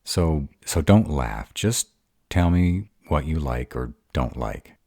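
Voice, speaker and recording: A man's voice: extremely low pitch, animated, slow, darkish tone, no room sound, studio quality, exaggerated voice. A man playing a grumpy, insecure were-dragon in a romantic roleplay audio drama, hesitant and defensive as he courts his mate. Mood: shy, relieved. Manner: hesitant, defensive, self-doubting.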